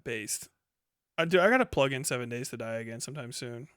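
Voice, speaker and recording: A man's voice: mid-range voice, expressive, brisk, fairly bright tone, clear, resonant tone, dry recording, clean studio sound. A man in his thirties doing casual livestream commentary and reading chat in a blunt, sweary, incredulous way. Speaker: live streamer.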